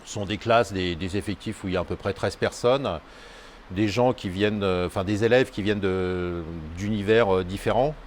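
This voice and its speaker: Man, teacher, low voice, halting with many ums, faint room noise. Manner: hesitant, many fillers. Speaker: vocational school teacher. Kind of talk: school video interview.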